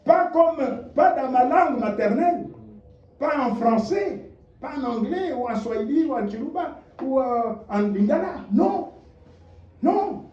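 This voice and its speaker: A man preaching a sermon, impassioned, dropping to low murmurs and rising to shouted rhetorical questions. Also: expressive, unhurried, slight background noise. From DR Congo. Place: church hall. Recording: echoey, distant, dull lo-fi church recording.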